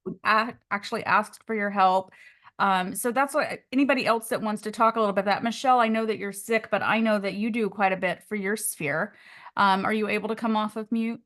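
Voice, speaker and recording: A woman's voice: medium pitch, steady tone, a little fast, fairly bright tone. A woman coach hosting a group video call, upbeat and encouraging, calling on participants.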